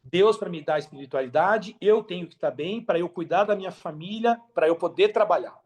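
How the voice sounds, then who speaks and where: A man's voice: sits high, fairly quick. A Brazilian man speaking as a podcast guest, telling his story candidly and conversationally, with slang and frequent tag questions. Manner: talkative, peppers speech with tag questions and slang.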